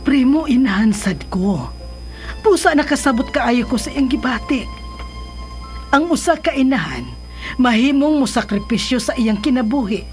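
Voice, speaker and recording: An actress playing an older mother who gently pleads with her grown son in a radio drama, with a Filipino accent. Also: high voice, lively intonation, moderate pace, soft background music. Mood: gently pleading. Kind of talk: Philippine radio drama acting.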